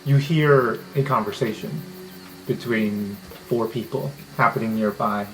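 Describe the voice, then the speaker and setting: A man's voice: fairly low pitch, measured pace, a bit bright, soft background music, low-quality recording. A man acting as game master, narrating an improvised tabletop game.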